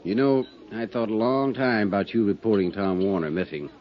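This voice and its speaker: An actor playing a gruff, folksy small-town police constable in a 1950s radio drama. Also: male voice, fairly low pitch, brisk, a little hiss or hum, lightly acted. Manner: folksy, stubborn, drawling. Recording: dull old radio recording.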